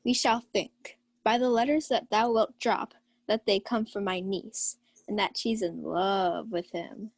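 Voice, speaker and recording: A woman's voice: mid-range voice, fairly even intonation, a little slow, no echo. A young woman playing a drunken, rowdy, flippant uncle in a Shakespeare radio play. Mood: scheming, amused. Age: young adult. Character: Sir Toby Belch, drunken rowdy carousing uncle.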